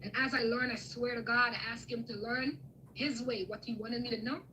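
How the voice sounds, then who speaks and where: A woman's voice: a little high, fairly even intonation, brisk, sharp tone, slight background noise, rough recording. A woman from Guyana giving a fervent, emotional spiritual testimony on a live call, repeating herself as she preaches. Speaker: guest speaker on a live video call.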